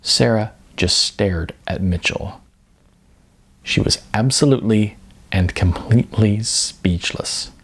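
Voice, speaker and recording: A man narrating comic fiction as an expressive audiobook reader. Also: lowish voice, slow pace, a little hiss or hum.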